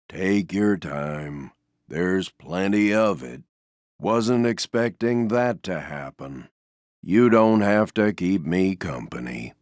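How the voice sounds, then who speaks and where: A man voicing a gloomy, resigned stuffed donkey in a video game, with a deep, gravelly, mournful drone and a gentle, self-effacing manner. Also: dry recording, studio quality, exaggerated voice. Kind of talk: video game character voice lines.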